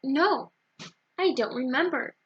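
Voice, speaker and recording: Woman voicing a polite little storybook boy, slow-spoken. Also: high voice, muffled recording. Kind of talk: children's storybook character voice.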